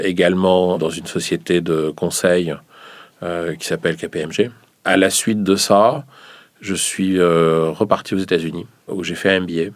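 A man, recounting his career in a measured, explanatory interview style. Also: sits low, no room sound. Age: 50s. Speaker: finance professional interviewee. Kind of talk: reflective interview account.

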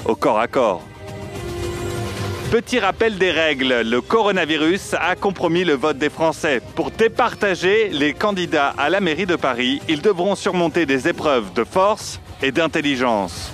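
A man's voice: fairly high pitch, animated, unhurried, fairly bright tone, soft background music. Man narrating with dramatic suspense. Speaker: narrator of a satirical radio show. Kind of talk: parody reality-TV scripted narration.

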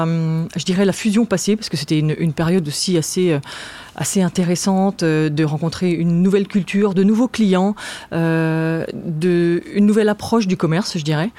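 A woman from France, a marketing director, answering interview questions in an agency office with halting, hesitant phrasing. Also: fairly low pitch, dark tone, no room sound.

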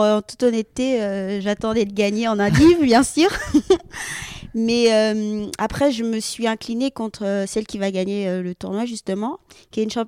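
Young woman from French Guiana, athlete guest. Higher voice, clean and smooth, hesitant with fillers, dry close sound. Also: a little mellow, no echo. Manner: hesitant with many fillers, laughs often. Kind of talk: casual interview answers.

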